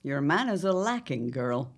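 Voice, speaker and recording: An actress as a sly, domineering older mother-in-law from an audio drama. Her voice is very low for a woman and swoops widely as she talks down to people. She is pompous and condescending, leaning grandly on her words. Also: big pitch swings. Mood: haughty reproach. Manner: pompous, condescending, drawn-out emphatic phrasing. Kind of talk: theatrical audio drama acting.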